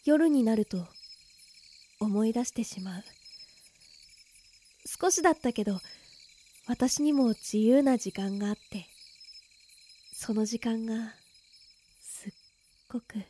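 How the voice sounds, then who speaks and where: A woman's voice: on the high side, slow, pauses often, mellow. A woman playing a tender, wistful twenty-year-old village girl in a Japanese radio drama, full of longing. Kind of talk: radio drama acting with inner monologue.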